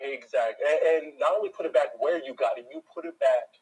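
Deep-voiced man ranting, slightly husky. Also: quick pace, a little mellow, rough recording. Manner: exasperated ranting storyteller, talks to listeners. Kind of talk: casual chat.

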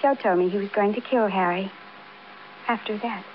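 An actress playing a childlike, defiant housewife confessing in a naive, matter-of-fact way, in 1950s radio crime drama style. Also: female voice, mid-range voice, normal speed, close to an everyday voice. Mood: wistful, detached. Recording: dull, noisy old radio broadcast.

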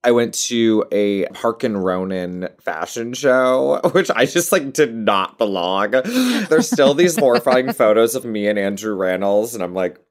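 A woman's voice: very deep voice, lively intonation, a little slow, few pauses. A woman in her late twenties, a guest in a casual interview, talking playfully and laughing often. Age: 20s.

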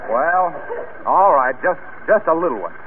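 A man's voice: on the high side, animated, normal speed, phone-quality sound, lightly acted. An actor playing a genial, bashful salesman in an old radio comedy, hesitant and sheepish, on a noisy vintage broadcast. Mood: bashful, flustered.

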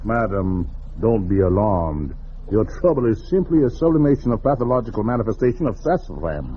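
A man's voice: on the low side, very animated, brisk. A man playing a pompous, formal psychiatrist in a 1940s radio comedy, fond of long words and easily exasperated. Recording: muffled vintage radio recording, noisy audience.

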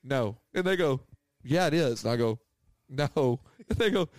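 A man tells a story in a loose, casual chat, laughing often and slipping into the voices of the people he quotes. His pitch sits in the ordinary middle range. The sound is dry and close. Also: lively intonation, unhurried, no room sound. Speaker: podcast co-host.

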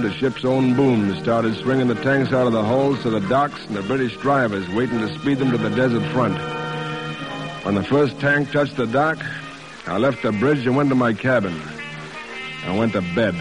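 An actor playing a steady male ship's captain, narrating his voyage in the first person for a 1950s radio drama. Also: mid-range voice, normal speed, close to an everyday voice, rough recording. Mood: composed, weary. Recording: old radio broadcast over loud music.

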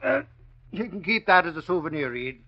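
Blustering high-pitched newspaper reporter. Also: male voice, sing-song, fairly quick, slightly rough, heavily acted. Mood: confiding. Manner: blustery, colloquial, folksy exclamations. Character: excitable, blustering, brash male newspaper reporter. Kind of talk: 1940s radio drama acting. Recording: dull old radio recording.

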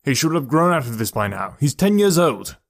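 A man voicing a frustrated, rational scientist father who talks in a loud, demanding way. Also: mid-range voice, animated, unhurried, slightly rough.

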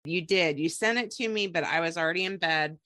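Woman, low voice, podcast host, casual reality-show recap, chatty, gossipy. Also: fairly quick, bright, forceful tone.

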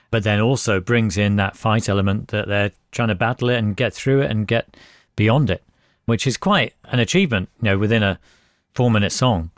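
A man's voice: lowish voice, no room sound, studio quality. A man hosting a music podcast and interviewing a band, summing up their answers in a reflective way. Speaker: podcast host. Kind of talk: interview chat.

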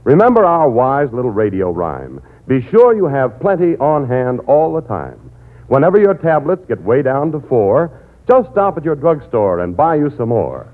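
Animated American man on tinny old radio. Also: medium pitch, moderate pace. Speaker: radio announcer. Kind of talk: rhymed 1940s radio ad reading.

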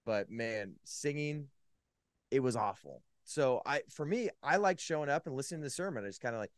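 A man's voice: medium pitch, expressive, a little fast, no room sound, studio quality. A man from California, a podcast guest, telling his faith story in a casual, rambling way with many "likes" and restarts. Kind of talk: casual personal testimony.

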